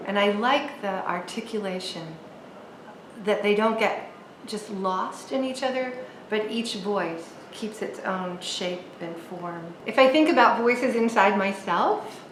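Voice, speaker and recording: A woman artist from the US East Coast, talking slowly and thoughtfully about her paintings. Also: mid-range voice, a bit bright, echoey room, a little hiss or hum, rough recording. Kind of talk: reflective talk about her art.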